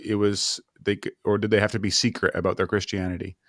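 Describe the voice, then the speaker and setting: A man hosting a podcast, asking friendly follow-up questions with quick restarts. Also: fairly low pitch, little pitch movement, a bit bright, dry recording, clean studio sound. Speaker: podcast host. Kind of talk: casual interview questions.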